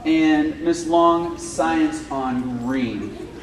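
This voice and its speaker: A man who teaches fifth grade science, speaking loudly and informally to parents at a school meeting, with many fillers. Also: fairly high pitch, very slow. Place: school classroom or hall. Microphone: far camera microphone. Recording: echoey room, far from the mic, noisy.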